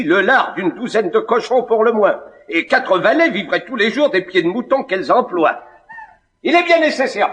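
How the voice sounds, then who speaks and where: A man's voice: on the high side, a bit bright. An actor playing a grumbling, exasperated middle-aged father in a classical French stage comedy, blustering theatrically.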